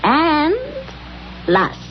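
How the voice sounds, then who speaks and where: An actress playing a bossy, scheming wife who is insistent and brisk in a 1970s radio drama. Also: female voice, high-pitched, very animated, full character voice. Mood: brisk, decisive. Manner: insistent, brisk, thinking aloud. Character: bossy, scheming wife, impatient with her husband. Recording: muffled, noisy old radio recording.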